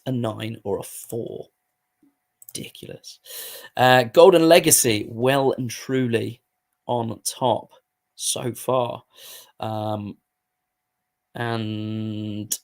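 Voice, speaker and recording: A hoarse man giving casual, sweary commentary while playing a football management game. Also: mid-range voice. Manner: swears freely, muttering asides while playing. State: hoarse, voice going. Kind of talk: casual live gaming commentary.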